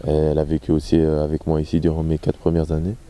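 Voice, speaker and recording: A man's voice: extremely low pitch, completely flat delivery, quick pace, mellow. A man, giving casual, hesitant interview answers on a handheld mic outdoors. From Belgium. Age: 20s. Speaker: footballer being interviewed. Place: open-air football pitch. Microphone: handheld microphone.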